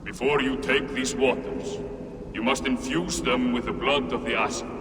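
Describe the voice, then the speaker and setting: Old solemn well spirit in a game, grave prophecy style, big echo, noisy ambience. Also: male voice, medium pitch, fairly even intonation, far from the mic. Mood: solemn, foreboding. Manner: measured, oracular, grave. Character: ancient, solemn male keeper spirit of sacred well. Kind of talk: solemn video game cutscene acting.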